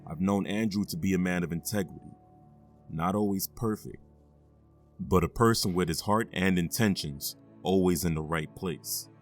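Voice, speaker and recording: A police chief testifies in a deep, low voice, as a man plays him, speaking with measured formality. Soft music runs faintly beneath this audio drama. He stays earnest and steady throughout. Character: steady male police chief on the witness stand.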